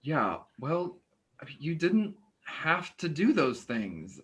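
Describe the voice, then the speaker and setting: A woman's voice: low voice, big pitch swings, slow, full character voice. A woman acting an uneasy, evasive neighbor in a play reading, stammering and defensive. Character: evasive, uneasy, apologetic neighbor avoiding involvement. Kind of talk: staged play reading, naturalistic dialogue.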